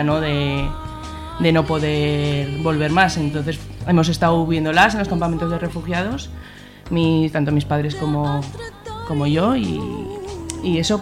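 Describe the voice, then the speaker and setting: A woman, a guest in a radio interview, speaking hesitantly with many filler words. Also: deep voice.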